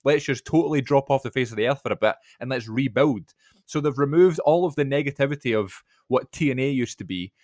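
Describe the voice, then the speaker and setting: A young man talks quickly and cheerfully as a podcast co-host. His voice is mid-pitched and sits close and dry on the mic, with no room sound around it. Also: darkish tone, no echo. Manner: quick, chatty and upbeat. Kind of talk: casual chat.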